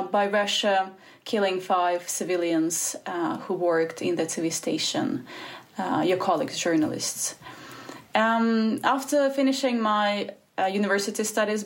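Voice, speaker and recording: Earnest woman, halting speech. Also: medium pitch. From Ukraine. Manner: earnest, halting, with many restarts and fillers. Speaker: guest, spokesperson for a Ukrainian organisation. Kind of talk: interview answers.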